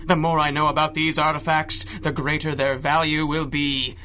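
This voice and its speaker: An actor playing a malevolent, grandiose sorcerer villain in a 1930s-style radio drama, with theatrical, taunting menace. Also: male voice, on the high side, level tone, moderate pace, pushed and bright, a little hiss or hum. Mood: menacing.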